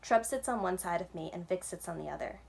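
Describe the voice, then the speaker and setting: A woman's voice: fairly low pitch, lively intonation, fast, creaky. A woman narrating a children's story as a library storyteller, reading from the text. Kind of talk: children's book read-aloud, scripted narration.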